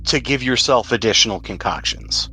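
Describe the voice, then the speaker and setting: A man's voice: mid-range voice, normal speed, sharp tone, poor audio. A man acting as game master, casually explaining rules and describing outcomes in a tabletop role-playing game. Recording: music playing behind the voice.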